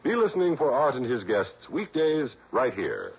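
A man's voice: mid-range voice, wildly swooping pitch, moderate pace, breathy edge. A man working as a 1960s radio announcer, reading scripted credits and promos. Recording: muffled old radio recording.

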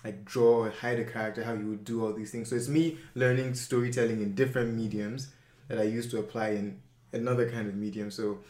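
A man's voice: lowish voice, darkish tone, echoey room. A young man, chatting casually as an interview guest, full of fillers and self-corrections. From Nigeria.

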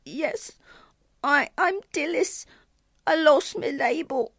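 Woman voicing a high-pitched, tearful little evacuee girl. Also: lively intonation, takes it slowly, gravelly, a bit bright, no room sound, studio quality, exaggerated voice. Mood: tearful, timid. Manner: sobbing, hesitant, small-voiced. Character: timid tearful ten-year-old evacuee girl from London. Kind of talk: storybook reading.

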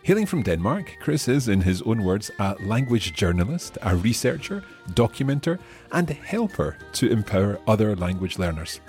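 A man's voice: fairly low pitch, very animated, normal speed, a little mellow, faint music behind. A man hosting a podcast, reading a scripted intro and then chatting with a guest in a friendly way, with frequent chuckles. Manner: friendly, chuckles often, some hesitant fillers. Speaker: podcast host and interviewer. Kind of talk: scripted intro then relaxed interview chat.

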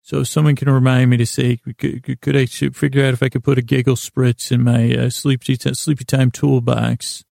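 Very creaky, mellow man rambling soothingly. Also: medium pitch, little pitch movement, dry recording, studio quality. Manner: meandering, halting, repeating and trailing off; lulling, soothing tones. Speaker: podcast host. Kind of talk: rambling sleep-podcast monologue.

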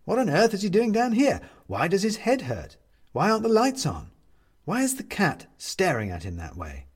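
A man narrating a scripted story as an audiobook reader, in a wry, measured manner. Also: high voice, huge pitch swings, breathy edge.

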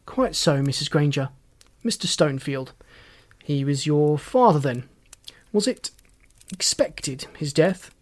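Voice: mid-range voice, lively intonation, unhurried, pauses often. A narrator voicing a commanding, wry male police inspector in an audiobook, folksy and teasing with pointed questions. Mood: probing, sardonic.

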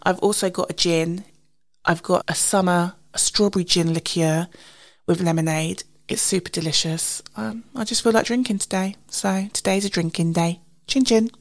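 Casting: female British podcast host, slightly low voice, a bit congested with a cold, informal and chatty delivery. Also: fairly even intonation, normal speed. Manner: informal, self-deprecating, recounts conversations in quoted dialogue. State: slightly husky and sniffly with a cold. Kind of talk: casual chatty solo podcast.

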